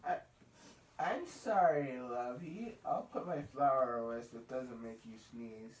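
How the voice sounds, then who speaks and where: A young male puppeteer voicing a friendly, kindly bear cub puppet, reading children's show lines haltingly. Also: medium pitch, natural voice. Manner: halting read-aloud delivery. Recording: noisy, echoey room, distant mic.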